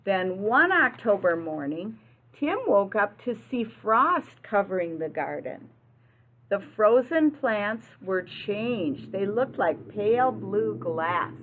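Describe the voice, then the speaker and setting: A woman's voice: mid-range voice, very animated, slow pace, phone-quality sound, slight background noise. A woman reading a picture book aloud like an early-childhood teacher, talking simply and instructively to young listeners and asking them questions.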